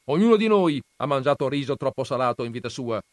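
Male reader as elderly patriarch, booming and commanding, dry close recording. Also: very animated, quick pace, no echo, lightly acted. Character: stern old Armenian grandfather, patriarch.

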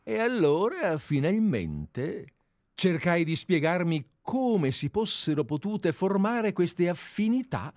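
A man's voice: sits high, big pitch swings, slow pace, a little mellow, no room sound, clean studio sound. A man reading an earnest, fawning sleuth. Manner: earnest, deferential, methodical. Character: obsessive, fawning male admirer-sleuth. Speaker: reader. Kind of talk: dramatized radio play reading.

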